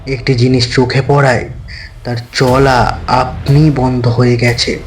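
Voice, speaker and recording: A male narrator tells a horror story with dramatic, deliberate phrasing. His pace is very slow and builds suspense, and faint music runs behind his mid-pitched voice. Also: flat delivery. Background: faint background music.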